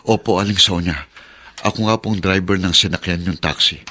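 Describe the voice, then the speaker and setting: A humble, honest Filipino taxi driver in a radio drama. The voice is low and raspy with a bright, forceful edge, and the delivery is polite and earnest. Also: male voice, normal speed. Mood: earnest, grateful.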